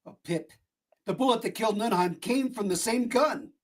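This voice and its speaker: A man's voice: high voice, normal speed. A man playing a confident, insistent police inspector in a vintage radio drama. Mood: triumphant, insistent. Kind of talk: 1930s radio drama acting.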